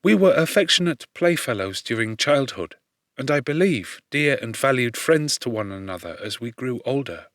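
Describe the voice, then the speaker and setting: A man reads as a gentle young woman from a classic novel, voicing her letter. The delivery is earnest, careful and tenderly anxious. The recording is dry and free of room sound. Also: medium pitch, expressive, moderate pace, no room sound.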